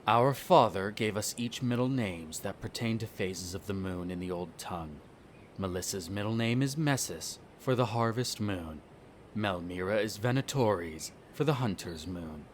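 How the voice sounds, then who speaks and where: A man playing a smug, bitter vampire noble, the eldest son, in an audio roleplay, speaking in a haughty, sardonic way with drawn-out theatrical phrasing. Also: lowish voice, animated, a little hiss or hum, natural voice. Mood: bitter, brooding. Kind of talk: scripted audio roleplay acting addressed to the listener.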